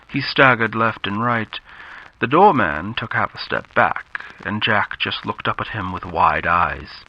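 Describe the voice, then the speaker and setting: A man narrating a scripted horror story in the style of classic radio drama, with measured, dramatic delivery. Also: on the low side. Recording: muffled lo-fi audio with background noise.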